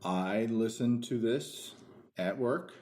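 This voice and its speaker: A man with a medium-pitched voice talks casually as a podcast co-host. He is dry and matter-of-fact. The room gives his voice a clear echo. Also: slow pace, a little mellow, a little hiss or hum. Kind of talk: casual chat. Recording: echoey room.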